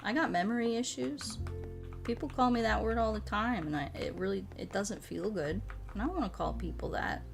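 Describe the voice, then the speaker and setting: A woman from the United States chatting casually as a streamer, animated and rambling with a salty tongue. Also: medium pitch, brisk, creaky, soft background music. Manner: animated rambling, frequent swearing. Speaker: streamer chatting with viewers during a puzzle game. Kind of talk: casual livestream chat.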